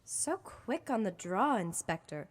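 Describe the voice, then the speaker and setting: Confident radio-serial dame, woman acting poised and teasing, medium pitch, long reverberant recording. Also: very animated, unhurried. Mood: flirtatious, poised. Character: confident, flirtatious, tough dame crimefighter.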